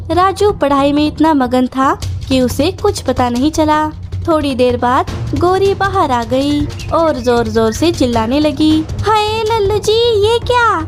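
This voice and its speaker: A woman reading scripted narration for a children's cartoon story. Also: high-pitched.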